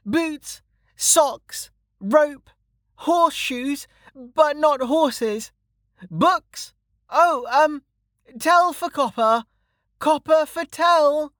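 A sulky little girl, as a male narrator puts her on, pitched very high and petulant. She is blunt and stubborn, a storybook child who would rather not be bothered, and she takes her time over each word. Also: drawn-out words, fairly bright tone, no echo, clean studio sound, exaggerated voice. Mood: loud, grudging hawking. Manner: petulant, hollers her words.

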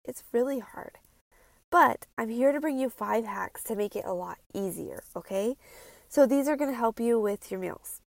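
High-voiced woman podcaster with a stuffy nose. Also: normal speed, creaky, no room sound. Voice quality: stuffy, congested nasal sound. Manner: animated, emphatic, repeats phrases for effect; informal, conversational, addresses listeners directly. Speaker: podcast host and health coach for moms. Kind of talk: chatty solo podcast episode.

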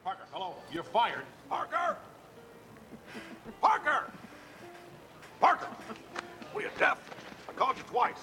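A bellowing newspaper chief, very high and piercing, animated swings, film clip with music underneath. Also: male voice, unhurried, pauses often, exaggerated voice. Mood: impatient yelling. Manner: barking, impatient yelling. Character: shouting middle-aged male newspaper boss.

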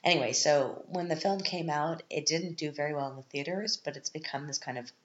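A woman's voice: low-pitched, a little fast. A woman in her thirties with a stuffed-up, scratchy voice, chatting casually and rambling as a podcast host at home. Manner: chatty and rambling, with frequent laughs. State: stuffed up, congested. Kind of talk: casual chat. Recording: roomy, dull lo-fi sound.

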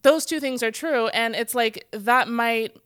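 Chatty woman with a smooth voice. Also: a little high, measured pace, a bit bright, dry recording, clean studio sound. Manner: chatty, many "like" fillers, acts out quoted speech. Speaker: guest speaker. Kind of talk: casual conversation. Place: church stage.

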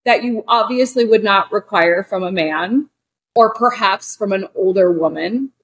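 Forty-ish woman on a podcast, chatty and candid, lots of likes, middle pitch. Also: a little slow, slightly rough. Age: 40s. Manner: searching, full of "like" and restarts. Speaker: guest, actor and director.

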